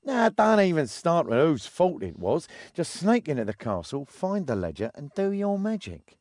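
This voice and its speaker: An actor playing a shifty, greedy crook in a video game, scheming in an offhand, cajoling manner. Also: male voice, a little high, huge pitch swings, normal speed, a little mellow, dry recording. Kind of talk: video game cutscene acting.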